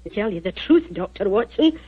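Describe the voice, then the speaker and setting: A woman's voice: medium pitch, very animated, brisk, husky. An actress playing a motherly, respectful landlady with a Scottish accent in a 1940s radio drama. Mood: worried. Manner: motherly, deferential. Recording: dull old 1940s radio recording.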